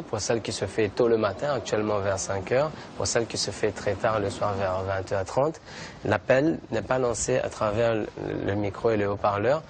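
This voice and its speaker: A man guides visitors through a mosque and explains it calmly. His voice is on the low side, with faint background noise around him. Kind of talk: explanatory tour.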